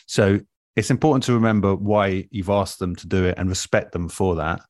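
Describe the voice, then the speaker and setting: A man in a relaxed interview, explaining things in a thoughtful, measured and earnest way as an experienced producer. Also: low voice, no echo, studio quality. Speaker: interview guest, audio drama producer. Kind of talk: relaxed advice-giving conversation.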